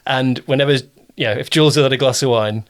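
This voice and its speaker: A man with a southern English accent hosts a relaxed, conversational interview. His pitch sits in an ordinary middle range. He is chatty and reassuring, and readily explains things as he goes. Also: a little fast, clear, resonant tone. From Kent, southern England. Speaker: interview host, producer and director.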